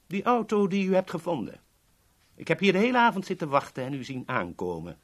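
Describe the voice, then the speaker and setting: Courteous armed boss, higher-pitched, lively melodic speech, formal and smoothly menacing radio-play villain. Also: male voice, a little fast. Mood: firm, threatening. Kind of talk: 1970s radio play acting.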